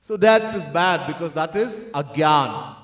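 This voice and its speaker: A man's voice: on the high side, animated, unhurried, a bit bright. A man teaching as a swami in a devotional discourse, earnestly repeating his key points and at times chanting verses in song. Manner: breaks into sung chanting of verses. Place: temple hall. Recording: echoey room, mic set far off.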